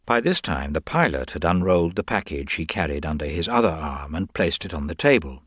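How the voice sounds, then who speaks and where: A man giving a dramatic, expressive narration of a crime story. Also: rumbling low, fairly quick, breathy edge, no room sound, clean studio sound.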